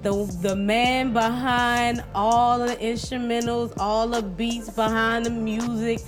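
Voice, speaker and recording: A woman's voice: a little high, level tone, slow, music in the background. A woman hosting a casual chat show, playful and teasing as she hypes the episode. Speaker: podcast host.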